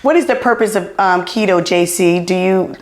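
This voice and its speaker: A middle-aged woman hosting a podcast, excited and loud, repeating herself as she chats without her mic on. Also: lowish voice, normal speed, a little hiss or hum. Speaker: podcast host. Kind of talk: casual podcast chat. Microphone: not wearing her clip-on mic. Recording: roomy echo.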